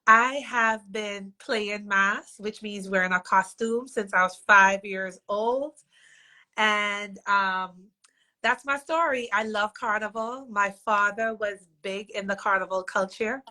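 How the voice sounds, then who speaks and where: A woman's voice: mid-range voice, steady tone, takes it slowly, sharp tone. A woman in her thirties from Trinidad with a Trinidadian accent, chatting casually and laughing easily. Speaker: co-host. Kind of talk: casual chat.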